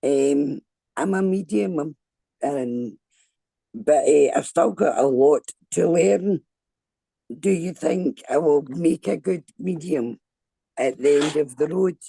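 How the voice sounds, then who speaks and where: A Scottish woman with a deep voice, a medium asking for advice on a video call, hesitant with many ums. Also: takes it slowly, creaky, warm tone, husky, no room sound. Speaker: caller, a medium seeking advice.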